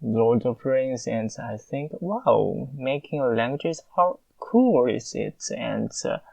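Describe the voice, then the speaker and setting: A young man with a Mandarin Chinese accent, a native Mandarin speaker, answering listener questions in a casual, halting monologue full of filler pauses. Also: mid-range voice, lively intonation, gravelly, a little mellow, no room sound, highs cut off.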